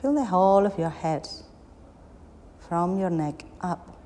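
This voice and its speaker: A woman with a slightly low voice leads a guided meditation very slowly. She gives soothing instructions in short phrases, leaving long pauses between them. The recording has a long, hall-like reverb. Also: lively intonation, mellow. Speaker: yoga nidra meditation guide.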